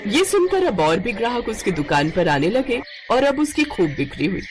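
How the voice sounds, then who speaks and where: Woman narrator for kids moral story, animated storytelling, mid pitch. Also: moderate pace. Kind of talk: expressive scripted children's storytelling.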